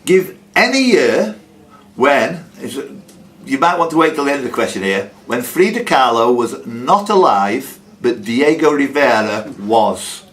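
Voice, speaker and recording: A man's voice: medium pitch, sing-song, measured pace, fairly bright tone. A man hosting a live club quiz, reading out questions and slipping in teasing, jokey asides. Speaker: quiz host. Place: working men's club.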